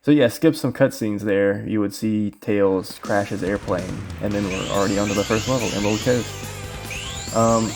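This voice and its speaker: A man's voice: fairly low pitch, fairly even intonation, normal speed. A man giving casual, informal speedrun commentary, rambling as he explains the game. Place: voice-over recorded over game footage. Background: game music.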